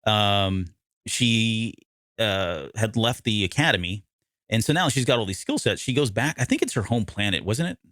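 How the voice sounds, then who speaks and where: A man's voice: lowish voice, lively intonation, normal speed, pushed and bright, dry recording, studio quality. An American man from the Midwest co-hosting a podcast, recapping a story in a casual, informal way with asides. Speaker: podcast co-host.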